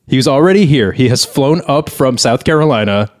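A man's voice: medium pitch, lively intonation, a little fast, a little mellow. A man hosting a podcast in casual comedic banter, sounding grumbling and exasperated. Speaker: podcast host.

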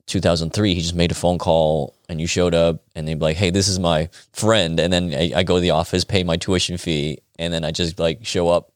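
A man's voice: deep voice, flat delivery, a little fast, hardly any pauses, smooth, no room sound, studio quality. An American man, an interview guest, telling stories in loose, colloquial conversation and acting out bits of dialogue as he goes. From United States. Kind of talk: casual conversational storytelling.

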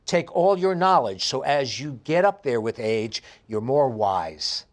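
A man in his fifties with a heavy New York accent, telling his life story in an interview with animated emphasis. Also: mid-range voice, a little slow. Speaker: interview guest. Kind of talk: candid conversational interview.

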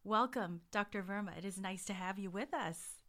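A woman's voice: mid-range voice, moderate pace, a bit bright. Woman hosting a podcast interview. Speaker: podcast host.

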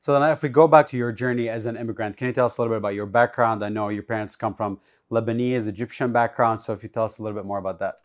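A host leading a casual interview, rushing through questions very fast. The speech is scattered with "like" and "you know". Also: medium pitch, steady tone. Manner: hesitant, many fillers like "like" and "you know". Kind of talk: casual interview over video call.

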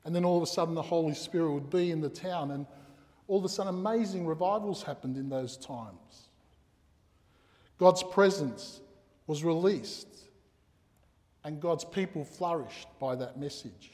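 A man's voice: on the high side, moderate pace, darkish tone. A man whose first language is Croatian preaching a sermon in a church, earnest and emphatic, posing rhetorical questions. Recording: reverberant hall sound.

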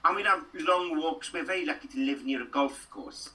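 A man's voice: on the high side, moderate pace, pushed and bright, distant mic. A middle-aged Welsh man from Wales, an actor chatting animatedly in an interview and rambling through stories with lots of restarts. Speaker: actor and guest.

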